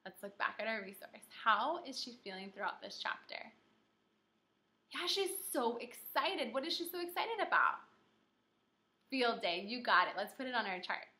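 Bright-voiced young American woman teaching children. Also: a little high, moderate pace. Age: young adult. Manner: animated, encouraging, instructional, speaking simply to children. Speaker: elementary school teacher. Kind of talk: interactive children's read-aloud lesson. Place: small indoor room with whiteboard.

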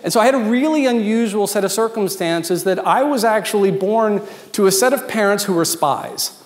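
A man's voice: sits high, moderate pace. An American man in his fifties giving an informal, joking keynote talk in a conversational way with emphatic asides. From Washington, D.C., United States. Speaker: keynote speaker, consultant. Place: large event hall with dinner tables, indoors. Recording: echoey hall with long reverb.

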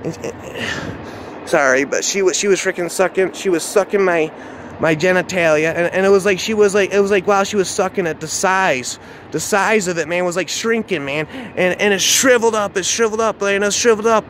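A man telling a dark first-person story off the cuff in an agitated, repetitive, swearing, slangy way. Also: high-pitched, fairly quick. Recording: noisy outdoor recording with traffic ambience.

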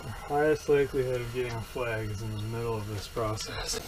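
A man's voice: medium pitch, fairly quick, background noise. A young man chatting casually with dry understatement, picked up by an action camera a short distance away. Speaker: fishing companion. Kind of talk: casual chat. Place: frozen lake, later inside ice fishing tent.

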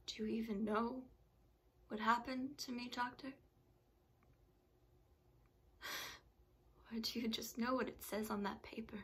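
A woman performing a traumatised, bitter bereaved mother in a modern dramatic monologue, hushed, halting and intense, often slipping toward a whisper. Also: fairly high pitch, steady tone, slightly rough. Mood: defensive, bitter.

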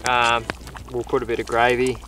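A man's voice: mid-range voice, monotone, moderate pace, distant mic, background noise. Laid-back Australian man by a campfire. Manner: laid-back, swears casually. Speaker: camping mate. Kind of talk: casual campfire chat. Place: outdoors at a night campfire.

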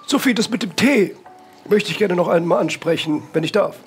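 A man plays a stiff manor butler in a role-play audio drama. He is formal and fussily pedantic. Music is clearly heard behind him. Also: high voice, lively intonation, moderate pace.

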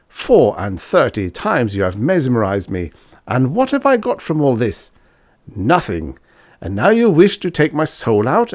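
A man reading a story aloud, voicing a half-drunk young male student who speaks loudly and urgently. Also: medium pitch, voice pushed up, close to an everyday voice.

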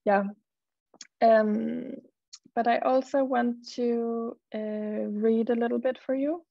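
A young Danish-native woman speaks in a flat, gravelly voice, pausing often with fillers as she talks and reads her poems. The sound is dry and a little dull, like a laptop mic on a video call. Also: a little high, dry recording. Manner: hesitant, many fillers, reads poems slowly. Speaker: poet and model, panelist. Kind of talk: panel talk and poetry reading. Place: home room, video call.

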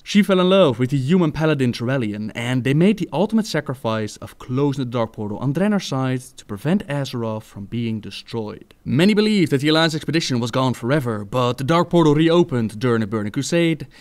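A man's voice: medium pitch, a little mellow. A man telling a story as a video narrator, in a scripted lore narration style.

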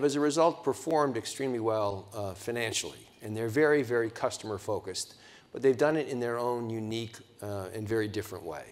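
A man in his sixties giving a conference keynote from a podium in a large hotel ballroom on a lapel mic, engaging and putting questions to the audience, with frequent restarts. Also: medium pitch. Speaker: keynote speaker.

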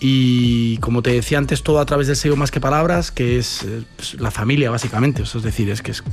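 Rapero madrileño hablando relajado. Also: male voice, mid-range voice, a little fast, soft background music. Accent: Madrid Spanish. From Spain. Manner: relaxed, slangy, many fillers like "¿no?" and "tío". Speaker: rapper guest. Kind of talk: casual interview answers.

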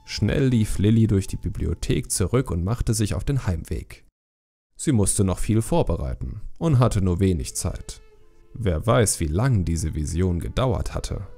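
A man's voice: fairly low pitch, vocal fry, mellow. A man reading scripted fantasy narration as an audiobook storyteller.

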